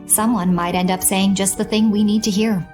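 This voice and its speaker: A woman playing a composed, practical young adventurer in a video game, speaking in a level-headed, deliberate way. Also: medium pitch, fairly even intonation, darkish tone, faint music behind, natural voice. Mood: sober, resolved. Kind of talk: English video game dub.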